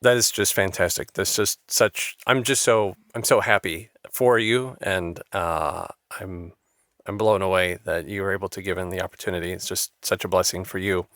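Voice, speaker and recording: Low-voiced upbeat male interview host. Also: steady tone, moderate pace, fairly bright tone, dry recording, studio quality. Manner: upbeat and effusive, with scripted-sounding intros. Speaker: podcast host. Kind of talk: interview talk show.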